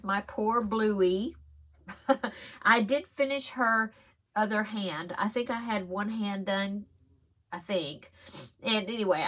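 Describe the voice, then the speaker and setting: A woman talks through her crochet projects in a relaxed, rambling way, full of ums and little giggles. One side of her nose is stuffed up, which gives her voice a slightly blocked sound. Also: mid-range voice. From Texas. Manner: chatty, giggly, rambling with frequent fillers. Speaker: crochet podcast host. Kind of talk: casual show-and-tell chat.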